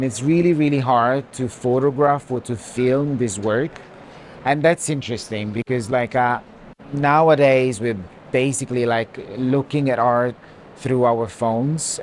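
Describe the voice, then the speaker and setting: A man's voice: mid-range voice, a little mellow. A man giving unscripted commentary on art in a walking conversation. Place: walking through an art fair. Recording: clear background noise of busy fair.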